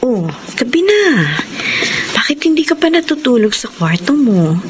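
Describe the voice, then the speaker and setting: An actress playing a kind, soothing aunt in a Filipino radio drama, gentle and reassuring. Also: female voice, high voice, sing-song, a little slow, background noise. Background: music.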